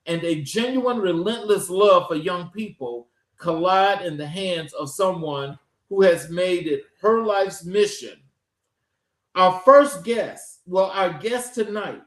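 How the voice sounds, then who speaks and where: High-pitched man hosting in an echoey room. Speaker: show host. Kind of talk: scripted introduction and interview hosting. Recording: roomy, echoing sound.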